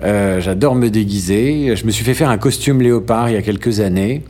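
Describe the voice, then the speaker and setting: A middle-aged man from France in an interview, talking thoughtfully with frequent "euh" pauses and restarts and some dry humor. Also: on the low side, darkish tone. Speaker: interview guest. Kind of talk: hesitant conversational interview.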